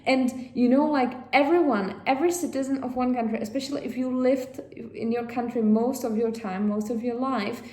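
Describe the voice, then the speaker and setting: A woman from Czechia with a Czech accent, chatting casually to viewers as a vlog host in a rambling, halting way. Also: on the high side, fairly even intonation, mellow. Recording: echoey room.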